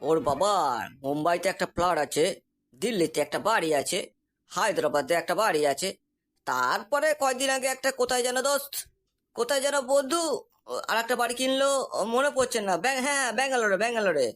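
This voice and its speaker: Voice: medium pitch, lively intonation, quick pace, fairly bright tone, natural voice. An actor voicing a boastful, cocky young man in a comic cartoon, talking in a breezy, insistent, colloquial dialect. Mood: bragging. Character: boastful, cocky young man exaggerating to impress his friend's prospective father-in-law.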